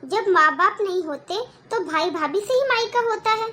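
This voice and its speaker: A woman's voice: very high voice, animated, normal speed, bright, forceful tone, heavily acted. A woman voicing an outspoken, indignant younger sister in a cartoon moral story, protesting and arguing. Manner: protesting, argumentative.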